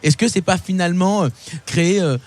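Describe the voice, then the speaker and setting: A man's voice: high voice, brisk, darkish tone. A man hosting a conversational interview, putting questions and comments to his guest. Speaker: interviewer, podcast host.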